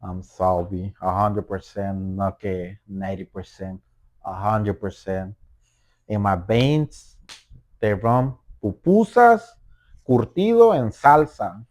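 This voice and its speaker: A man with a thick Salvadoran accent, joking around as a podcast co-host. He speaks slowly and unhurriedly in a fairly low voice. His talk is playful and halting, full of restarts. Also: darkish tone. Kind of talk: casual chat.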